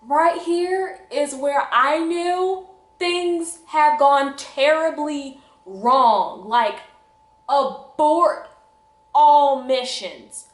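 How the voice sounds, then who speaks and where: A woman's voice: high voice, very slow. A young woman telling a personal story in a dramatic, exaggerated, slangy way, acting out the dialogue. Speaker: vlogger. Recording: echoey room.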